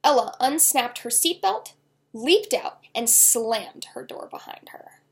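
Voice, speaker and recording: A woman author reading her own children's novel aloud in an expressive storytelling style. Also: fairly high pitch, takes it slowly.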